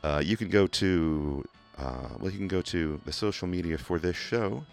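A man with a Kentucky accent hosting a community radio music show from a studio, talking in a relaxed, rambling way with many "uh" fillers and restarts. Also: deep voice, moderate pace. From England. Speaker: radio DJ host. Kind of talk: casual radio DJ talk. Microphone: studio microphone.

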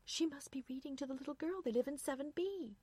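A woman's voice: high voice, fast, a little mellow, no echo, voice pushed up, natural voice. An anxious, curious wife from an audiobook story, acted by a woman. She speaks emphatically in a slightly raised voice, insistent and easily astonished, with a dry sound.

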